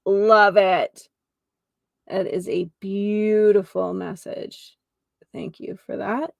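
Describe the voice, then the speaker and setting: A woman's voice: medium pitch, little pitch movement, slow pace, creaky, a little mellow, no echo, dull audio. A woman hosting a solo healing podcast, speaking to listeners in an earnest, effusive manner and stressing key words. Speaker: host of a holistic healing podcast; geomancer and energy healer.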